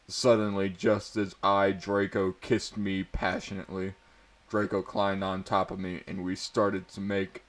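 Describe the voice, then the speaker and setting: Slow-talking, low-voiced man reading aloud. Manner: often breaks into laughter while reading. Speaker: amateur reader of fan fiction. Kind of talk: mock-dramatic reading aloud with asides.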